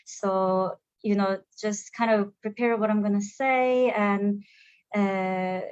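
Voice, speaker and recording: Korean woman, non-native English, halting with lots of restarts, dry close sound, dull audio. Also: medium pitch, no echo. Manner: hesitant, many fillers and restarts. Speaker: graduate alumna. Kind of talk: conversational panel interview.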